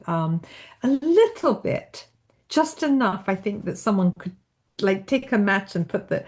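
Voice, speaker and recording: A woman's voice: medium pitch, sing-song, measured pace, darkish tone. A British woman novelist being interviewed, speaking thoughtfully and self-deprecatingly with frequent restarts, in a British accent. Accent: British English. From Britain. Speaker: novelist guest. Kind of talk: interview conversation.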